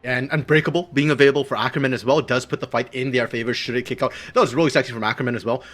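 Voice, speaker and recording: A male colour caster who talks quickly with a mid-range voice. He breaks down the game's strategy in long analytical runs over faint background music. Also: medium pitch, steady tone, a bit bright. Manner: analytical, explains strategy at length. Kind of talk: esports colour commentary.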